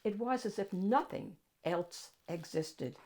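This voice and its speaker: A woman reading a picture book aloud as a storytime host, addressing young listeners with dramatic pauses and drawn-out key words. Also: mid-range voice, lively intonation, darkish tone.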